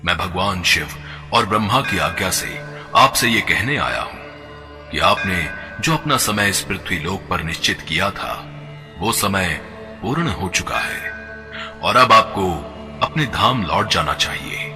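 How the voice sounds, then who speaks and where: A man voicing an elderly god of death in a devotional cartoon, speaking in a solemn, measured, formal way. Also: deep voice, very animated. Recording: long reverb with background music.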